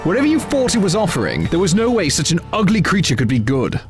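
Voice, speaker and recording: A man acting a blunt, suspicious, commanding soldier in an audio drama, curt and forceful. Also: sits high, huge pitch swings, fast. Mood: defiant, commanding. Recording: music bed under the voice.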